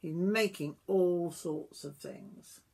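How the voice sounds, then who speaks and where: A woman in her sixties reading a picture book aloud to young children like a grandmother, expressively, with drawn-out words and little asides to the listener. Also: lowish voice, vocal fry, warm tone, a little hiss or hum. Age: 60s. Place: small wooden summerhouse.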